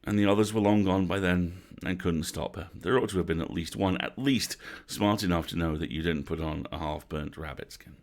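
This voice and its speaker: A man narrating a bedtime story from a script as an expressive storyteller. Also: deep voice, a little fast, few pauses. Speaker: storyteller, host.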